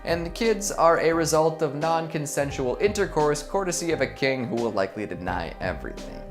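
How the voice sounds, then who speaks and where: A man's voice: a little high, expressive, fairly quick, over music. A man narrating a scripted countdown with casual, sarcastic and playful comic asides.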